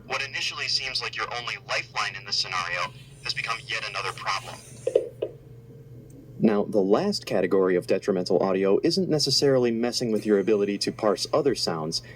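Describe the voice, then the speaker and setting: A man's voice: fairly low pitch, brisk, fairly bright tone, a little hiss or hum. A man reading a scripted, analytical video essay narration.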